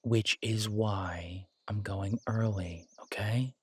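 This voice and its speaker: A man playing a confident, protective alpha werewolf boyfriend in an intimate roleplay audio drama, husky and soothing, teasing and reassuring in a casual way. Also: on the low side, fairly even intonation, slow, no echo.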